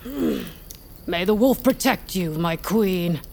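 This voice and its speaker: A woman's voice: on the low side, animated, slow pace, creaky, darkish tone, slight background noise, heavily acted. An actress playing a proud, brutal warrior who reports formally to her queen in a fantasy audio drama. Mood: reverent.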